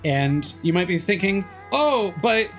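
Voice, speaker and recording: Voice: mid-range voice, very animated, unhurried, soft background music. A video game streamer giving casual, analytical commentary, rambling and often trailing off mid-thought. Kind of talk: casual livestream commentary while gaming.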